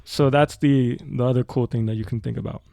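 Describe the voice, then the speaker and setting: Mellow, gravelly man giving an audio tutorial. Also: mid-range voice, fairly even intonation, brisk, dry recording, studio quality. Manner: explanatory, thinking aloud while working. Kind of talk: informal software tutorial narration.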